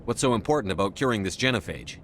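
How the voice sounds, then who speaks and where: An actor playing a steady military commander hero in a video game, with a firm, direct manner. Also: male voice, on the low side, fast, a little hiss or hum. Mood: serious.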